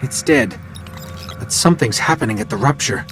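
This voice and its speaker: A man's voice: mid-range voice, wildly swooping pitch, normal speed, creaky. A man voicing a small robot scout in a video game, inquisitive and wry, explaining things expressively. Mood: focused. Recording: game audio with music behind.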